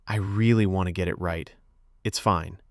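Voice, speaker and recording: A man voicing a young actress who is defensive and quietly confused, in a fiction podcast story. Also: low voice, fairly even intonation, moderate pace, no echo, studio quality. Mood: hesitant.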